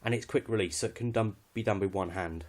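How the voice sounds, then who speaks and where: A man's voice: fairly low pitch, fast, a little mellow. A British man giving a casual, unscripted walkthrough of his kit in a matter-of-fact, slightly hesitant way. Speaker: hobbyist presenter showing radio kit.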